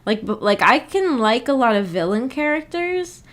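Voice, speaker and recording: A woman's voice: fairly high pitch, big pitch swings, moderate pace, fairly bright tone. A woman hosting a livestream in a casual, rambling way, hesitant as she thinks aloud. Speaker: livestream host.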